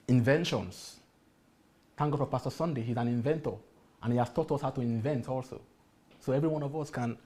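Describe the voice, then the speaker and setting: Emphatic man giving a motivational workshop talk. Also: medium pitch. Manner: emphatic, repeats key phrases, asks the audience rhetorical questions. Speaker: workshop speaker.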